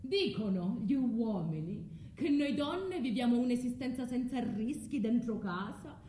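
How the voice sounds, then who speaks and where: An actress with a southern Italian accent playing a proud, vengeful, scorned foreign wife in a declamatory radio drama monologue. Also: female voice, a little high, animated, unhurried, warm tone, reverberant, a little hiss or hum. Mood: bitter, reflective.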